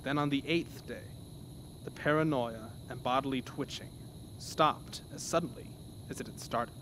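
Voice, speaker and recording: A man's voice: medium pitch, moderate pace, background noise. A man narrating a scripted horror story in a dramatic, theatrical manner.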